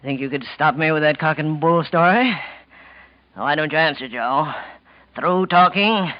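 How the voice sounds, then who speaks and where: A man's voice: a little high, unhurried, no room sound, natural voice. A man acting a scheming, gloating killer in a 1940s radio mystery, jittery and resentful, with a taunting, theatrical delivery on a muffled vintage recording. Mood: tense, muttering.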